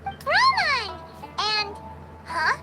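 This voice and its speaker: A woman voicing a small, excitable childlike fairy companion with a squeaky voice in a video game. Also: very slow, exaggerated voice. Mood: excited, curious. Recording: loud game music under the voice.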